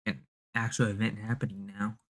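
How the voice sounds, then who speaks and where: A man giving a casual live reaction as a streamer, halting and scared, with informal slang and swearing. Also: fairly low pitch, flat delivery, a bit bright. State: scared, on edge. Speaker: streamer reacting to a horror video.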